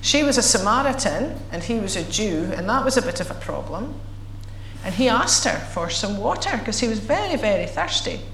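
Woman pastor, bit croaky, gentle prayer voice then chatty with the kids, big echoey church room. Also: mid-range voice, big pitch swings, a little hiss or hum. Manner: measured and prayerful, then chatty and playful with children. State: croaky, recovering voice. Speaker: minister leading worship. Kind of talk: leading prayer and a children's talk. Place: church sanctuary.

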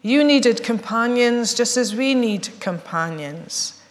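Woman pastor, bit croaky, gentle prayer voice then chatty with the kids, big echoey church room. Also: fairly high pitch, lively intonation. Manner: measured and prayerful, then chatty and playful with children. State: croaky, recovering voice. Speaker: minister leading worship. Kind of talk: leading prayer and a children's talk. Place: church sanctuary.

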